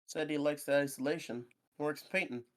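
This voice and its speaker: A man reading the lines of a friendly, easygoing young man in a visual novel, in a relaxed, offhand way. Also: on the high side, fairly quick, natural voice.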